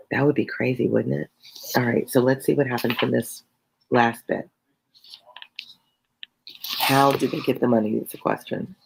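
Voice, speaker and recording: A middle-aged tutor with a gravelly, slightly husky voice reads a classic novel aloud during a live stream. Between passages the tutor chats with viewers in a loose, playful, self-deprecating way. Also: mid-range voice, low-quality recording. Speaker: tutor hosting a live read-along. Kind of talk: reading a novel aloud, then chatting with viewers.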